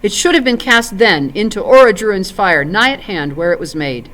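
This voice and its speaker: Woman voicing an ancient elf lord, grave, stately, lively rise and fall, storybook read-aloud. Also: medium pitch, moderate pace, natural voice. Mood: grave, solemn. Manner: solemn, stately, archaic phrasing. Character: grave, ancient male elf lord recalling old wars.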